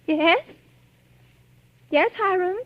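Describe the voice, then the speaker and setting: An actress playing a frightened, pleading, genteel new wife in 1940s radio suspense style, with tremulous hesitations. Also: female voice, very high voice, very slow, no echo, heavily acted. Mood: frightened, evasive. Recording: tinny, band-limited old radio recording with music.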